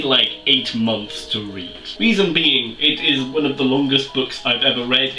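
A young Welsh man talks through a book review in a chatty, animated, self-mocking way. His voice is bright and sharp. He sits back from the camera mic in a small, roomy-sounding room. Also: medium pitch, recorded from a distance. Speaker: book vlogger. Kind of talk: casual book review chat. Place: small room with bookshelves, indoors.

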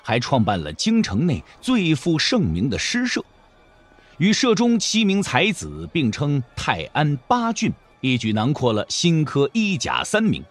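A man's voice: on the high side, huge pitch swings, measured pace. A man narrating a dramatic audio drama as a scripted storyteller. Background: background music.

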